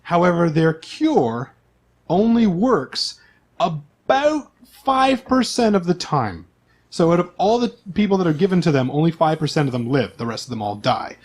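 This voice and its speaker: A man's voice: on the high side, very animated, moderate pace. A man speaking as host and game master, explaining things to players and listeners in a chatty, casual way.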